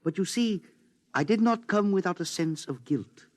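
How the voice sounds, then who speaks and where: An actor playing a foreign engineer who speaks in a measured, formal, quietly accusing way, in a 1950s radio drama. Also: male voice, sits high, expressive, no room sound. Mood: grave. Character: bitter foreign male engineer, calm avenger.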